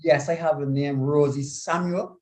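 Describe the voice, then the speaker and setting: A man with a slightly high voice, hosting a live online panel over a video call. He is courteous and a little apologetic, reading out viewer comments and greeting guests. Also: fairly even intonation, normal speed, dark tone. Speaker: panel moderator.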